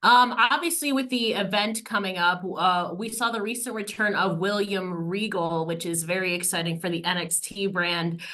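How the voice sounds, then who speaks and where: A woman's voice: medium pitch, normal speed, few pauses, pushed and bright. A woman reporter putting a question to a guest on a press conference call.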